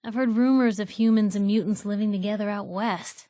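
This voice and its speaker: An actress playing a sardonic, plucky young reporter with an American accent, making casual companion remarks in a video game. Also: female voice, medium pitch, fairly even intonation, a little fast, mellow, no room sound, clean studio sound, lightly acted. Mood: serious, wry. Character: wry, plucky young female reporter in a post-nuclear wasteland.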